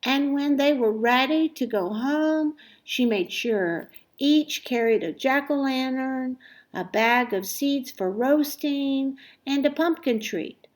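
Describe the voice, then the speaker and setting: A woman reading a children's story aloud expressively, with deliberate, emphatic phrasing and dramatic pauses. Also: high-pitched. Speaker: storybook reader.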